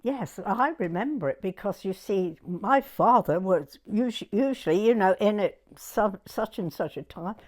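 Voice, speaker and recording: Elderly southern English woman, very lively intonation. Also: mid-range voice, normal speed, hardly any pauses. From southern England. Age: 90s. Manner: chatty, animated, repeats herself. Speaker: interviewee recalling her youth. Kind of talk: oral history reminiscence.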